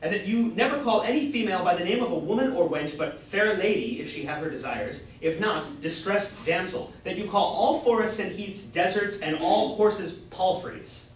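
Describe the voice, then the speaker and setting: A high-pitched, grandiose apprentice knight, acted by a young man, declaims in courtly, high-flown phrases for a stage comedy. The voice rings far from the mic in a very echoey room. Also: normal speed, few pauses, close to an everyday voice. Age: young adult. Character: grocer's apprentice playing grandiose knight-errant, bombastic and courtly. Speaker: student actor. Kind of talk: staged reading of Jacobean comedy. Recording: distant, very echoey room with faint noise.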